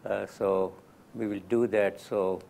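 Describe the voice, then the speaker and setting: A man in his nineties, a native Gujarati speaker from India, giving a gentle, unhurried spiritual talk with soft laughs. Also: on the low side, little pitch movement, gravelly, a little hiss or hum. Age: 90s. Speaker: lecturer.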